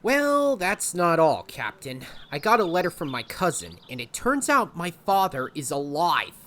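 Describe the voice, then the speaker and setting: A woman's voice: on the low side, very animated, unhurried, fairly bright tone. A woman voicing a plucky, adventurous male animal hero in an audio drama. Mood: earnest. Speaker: lead actor and story narrator. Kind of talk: audio drama acting and narration.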